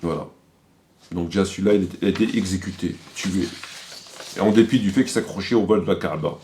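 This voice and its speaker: A man's voice: sits low, fast talker, mellow, slight background noise. A man delivering critical commentary, quoting sources didactically and repeating his points for emphasis.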